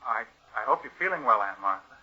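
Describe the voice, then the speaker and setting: A man's voice: medium pitch, lively intonation, quick pace, a little hiss or hum, natural voice. A man playing a fearful, weak-willed nephew drawn reluctantly into murder, nervous and faltering in 1940s radio suspense style. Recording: muffled vintage radio recording.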